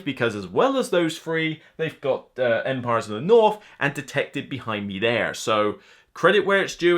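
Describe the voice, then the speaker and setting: Guy in his 30s chatting to camera about his top games, bright forceful voice, middle pitch, keen and conversational. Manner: conversational, enthusiastic. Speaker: board game reviewer and host. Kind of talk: casual top-list commentary to camera. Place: indoor room lined with game shelves.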